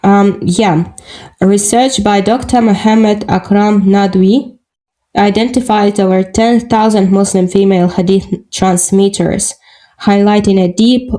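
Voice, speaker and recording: Slow, gravelly woman with Russian accent. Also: mid-range voice, mellow, rough recording. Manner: halting, searching for English words, many fillers. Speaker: podcast guest. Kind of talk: casual interview talk.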